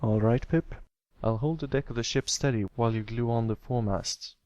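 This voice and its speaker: A man voicing a kindly, encouraging older brother pony with a relaxed, reassuring way of talking, in a read-aloud story. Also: medium pitch, a little fast, dry recording, natural voice.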